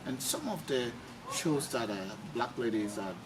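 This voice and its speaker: A woman's voice: rumbling low, lively intonation, normal speed. A woman interviewing casually, often repeating her phrases and murmuring agreement. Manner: repeats phrases, frequent backchannels. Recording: distant mic, loud ambient noise.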